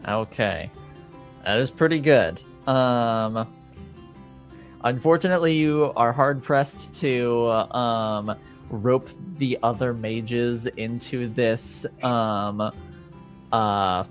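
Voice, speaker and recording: Slow, halting man running a tabletop game. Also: medium pitch, faint music behind. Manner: hesitant, halting, pausing to find words. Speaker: game master. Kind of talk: improvised tabletop game narration.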